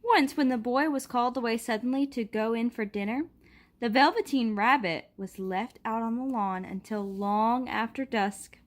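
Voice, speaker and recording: Woman gently reading a storybook aloud. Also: a little high, moderate pace. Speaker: storybook reader. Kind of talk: reading a picture book aloud.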